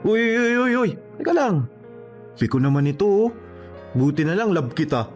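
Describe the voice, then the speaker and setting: A man's voice: high-pitched, sing-song, normal speed, soft background music. A man acting a young lovelorn suitor in a Filipino radio drama, emotional and exclamatory, by turns teasing and pleading. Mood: playful teasing.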